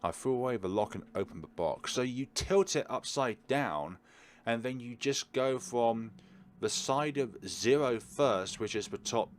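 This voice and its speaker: A man's voice: medium pitch, lively intonation, unhurried. A man hosting a let's play, reading game text aloud dramatically and adding casual asides. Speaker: gaming video host.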